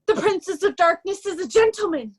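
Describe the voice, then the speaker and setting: A woman playing a raving mad beggar in an amateur Shakespeare reading, wild and chanting with drawn-out nonsense. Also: very high voice, expressive. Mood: crazed, haunted. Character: Edgar feigning a raving mad beggar, Poor Tom.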